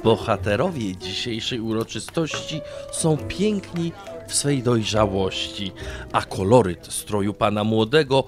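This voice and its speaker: An actor playing a pompous, bookish painter with ornate, deliberate phrasing in an audio drama. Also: male voice, fairly low pitch, lively intonation, music in the background. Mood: grandly courteous. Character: pompous male artist painter, grandiloquent and bookish.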